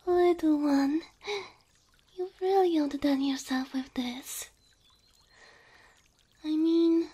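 A gentle cow girl girlfriend played by a woman speaks in a high, mellow voice for an ASMR roleplay. She is breathy, often dropping into a whisper. Her delivery is unhurried, affectionate and easily filled with wonder. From Slavic country. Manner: tender, slow, full of wonder.

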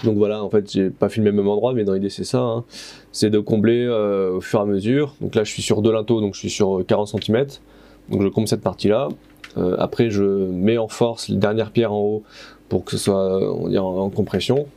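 A man's voice: fairly low pitch, fairly even intonation, brisk, creaky, dark tone. A man in his thirties, a plasterer, narrating his stone-wall renovation casually, with many fillers and self-corrections, recorded on a head-mounted camera in a stone room. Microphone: head-mounted action camera.

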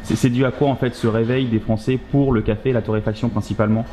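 Man, interviewer; quick, chatty, restarts often; café noise behind. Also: medium pitch, fairly even intonation, warm tone. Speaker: interviewer, blog host. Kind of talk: casual interview. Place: coffee shop, indoors.

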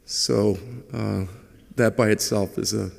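A middle-aged man, Hungarian his first language, delivers a eulogy into a lectern microphone in a large church. His voice is somewhat low and gravelly, and he talks conversationally, with light humor and frequent hesitations. A long church reverb trails each phrase. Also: fairly even intonation, slow pace. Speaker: son giving a eulogy. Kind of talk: funeral eulogy.

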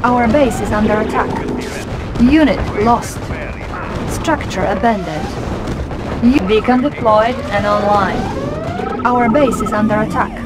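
A woman's voice: on the high side, a little slow, long pauses, very noisy, lightly acted. A woman playing a formal, businesslike Soviet military officer in a strategy game, speaking in clipped scripted announcements.

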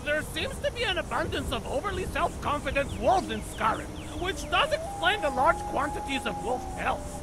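High-pitched, boastful little rat creature. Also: sing-song, normal speed, few pauses. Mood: chatty. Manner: rambling, boastful, hesitant asides. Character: small chatty boastful skeever, hard-drinking step counter. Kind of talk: scripted game companion dialogue. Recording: loud music behind the voice.

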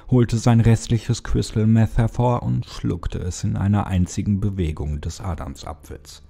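Male horror narrator, low mellow voice, slow pace, dramatic audiobook reading, music behind. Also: creaky.

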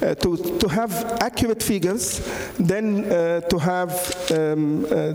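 A man's voice: high voice, darkish tone. A middle-aged man with an Egyptian accent, speaking as a doctor on a conference panel into a handheld mic, hesitant with many fillers and restarts. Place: large conference hall on stage. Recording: echoey hall with long reverb.

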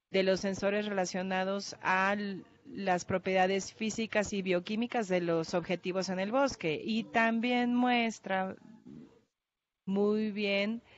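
Ponente con acento portugués, dicción lenta y vacilante, cámara lejana en un auditorio. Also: female voice, medium pitch. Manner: careful, hesitant, with restarts and fillers. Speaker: researcher presenting at a workshop. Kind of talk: conference talk. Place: large conference hall. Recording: dull lo-fi hall recording.

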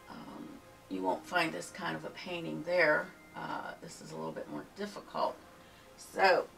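Soft-spoken woman with a Pittsburgh accent, painting teacher, low, unhurried voice, picked up by a distant camera mic. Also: vocal fry. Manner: unhurried, murmurs to herself while painting. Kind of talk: step-by-step painting tutorial. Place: home art studio, indoors. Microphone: camera mic from behind, far off.

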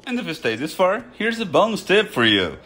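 A man's voice: sits high, huge pitch swings, brisk, pushed and bright. A man from Brazil with a Brazilian accent, Portuguese his first language, giving a chatty, self-joking voice-over for a game guide. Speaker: gaming YouTuber.

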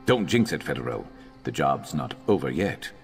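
A man playing a gruff, loyal reptilian companion in a video game, dry and terse with a vengeful streak. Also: extremely low pitch, big pitch swings, normal speed, faint music behind, full character voice. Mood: wary, businesslike. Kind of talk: video game character acting.

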